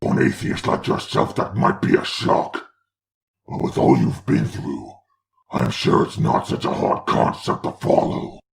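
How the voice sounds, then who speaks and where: A man voicing an imperious male demon lord of hell in a fan comic dub, raspy and menacing in slow speeches. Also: close to an everyday voice. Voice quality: deep, raspy.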